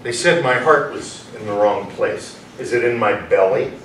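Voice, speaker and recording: Man playing a halting, childlike recluse, echoey room. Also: on the low side, fairly bright tone, lightly acted. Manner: short, halting, fragmented phrases. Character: odd reclusive male outsider artist, childlike, fragmented. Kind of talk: staged play reading, theatrical acting. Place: small indoor performance room with audience. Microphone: far camera. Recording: echoey room, distant mic, faint noise.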